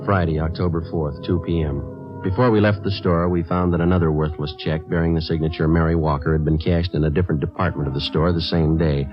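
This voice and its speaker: An actor playing a terse, polite police sergeant, narrating and questioning in a clipped deadpan 1950s radio drama style. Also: male voice, extremely low pitch, fairly quick. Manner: deadpan, matter-of-fact, polite. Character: terse, businesslike male police sergeant narrating his case. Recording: dull old radio recording, music behind.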